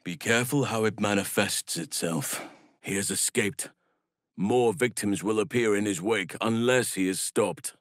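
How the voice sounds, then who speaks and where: A gentle druid healer from a fantasy game, solemn and devoted to nature. His low voice moves in measured, earnest phrases with formal wording, recorded close and dry. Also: dry recording. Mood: grave. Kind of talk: fantasy video game voice acting.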